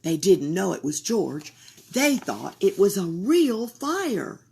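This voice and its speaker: Slow, breathy woman reading a picture book aloud. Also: medium pitch. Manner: expressive, drops into whispers for suspense. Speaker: library storytime reader.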